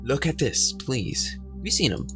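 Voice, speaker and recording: A man's voice: mid-range voice, very animated, a little slow, over music. A man reading the lines of a grim senior police officer questioning a boy at the door.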